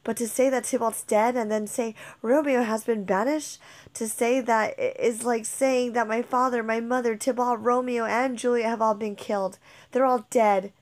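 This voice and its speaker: Brief: woman reading a young Juliet, high-voiced and anguished, declaiming her grief. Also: fairly even intonation, moderate pace, close to an everyday voice. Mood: grieving. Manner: emotional, declamatory. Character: young woman Juliet, grieving, torn, passionate. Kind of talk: dramatic reading of a modernized play.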